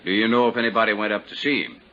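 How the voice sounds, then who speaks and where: An actor playing a plain-spoken western sheriff methodically questioning as coroner in a 1950s radio drama. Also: male voice, fairly low pitch, racing, pushed and bright. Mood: methodical, official. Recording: dull, noisy old 1950s radio recording.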